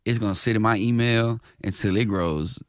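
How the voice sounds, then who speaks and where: A man, a rapper, chatting casually in an interview, animated and full of slang, with a higher-range voice. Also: moderate pace, smooth, no room sound, studio quality. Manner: animated, slangy, repeats himself. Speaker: rapper guest.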